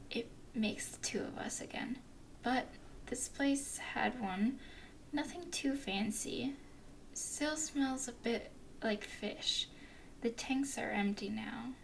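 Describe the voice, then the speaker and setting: A woman voicing a flirty, playful female werewolf guide in an ASMR roleplay, speaking in soft, teasing whispers. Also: fairly high pitch, vocal fry, a little hiss or hum.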